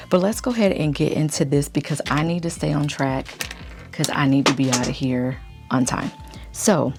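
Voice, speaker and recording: A woman vlogger chatting casually in a deep morning voice, keeping it low and quiet. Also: creaky. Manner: speaking low to stay quiet. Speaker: lifestyle YouTube vlogger. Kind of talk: casual chit-chat vlog.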